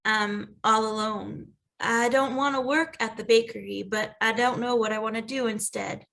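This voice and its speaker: Woman as an earnest, bright-voiced young heroine. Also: a little high, fairly even intonation, normal speed, vocal fry. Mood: forlorn. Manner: earnest, emotional. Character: orphaned young woman, earnest and anxious baker's daughter. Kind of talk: animated comedy table read.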